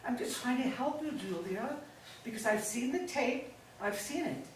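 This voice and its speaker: Woman acting pushy manipulative middle-aged wife, sweet-talking, swingy pitch, really echoey stage reading. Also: lively intonation, moderate pace, natural voice. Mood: pressing, coaxing. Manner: coaxing, pressuring, falsely sweet. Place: indoor staged reading, mic far off. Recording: very echoey room, distant mic, faint noise.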